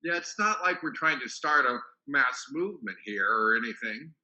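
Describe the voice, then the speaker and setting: A man's voice: mid-range voice, expressive, moderate pace, pushed and bright, rough recording. A man playing a pragmatic, unbothered biblical disciple in a church dramatic reading, speaking matter-of-factly. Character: pragmatic, unbothered male disciple, shrugging off doubts.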